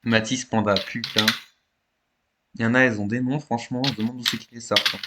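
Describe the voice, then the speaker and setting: A man's voice: medium pitch, fairly even intonation, fast, very noisy. A young man chatting casually in an online game voice chat, brash and full of slang and swearing. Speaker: online roleplay game player. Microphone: online voice chat microphone.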